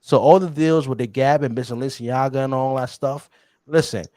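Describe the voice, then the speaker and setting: A man co-hosting a casual sports podcast, talking in an animated, teasing way and chuckling often. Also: mid-range voice, normal speed, no echo, studio quality. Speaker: podcast co-host.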